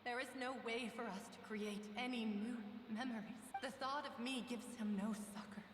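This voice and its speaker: A woman voicing a gentle, sorrowful drowned woman in a video game, solemn and wistful, with long reverb on her voice. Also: a little high, steady tone, moderate pace, noisy background. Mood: mournful. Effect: heavy otherworldly reverb. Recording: echoing, long reverb, distant. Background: music.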